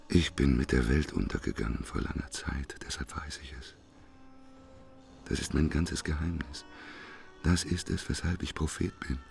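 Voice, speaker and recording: An actor playing a despairing, raging doomsday prophet in a radio play, with a hoarse, raspy voice. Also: male voice, a bass voice, darkish tone, heavily acted. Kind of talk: avant-garde German radio play monologue.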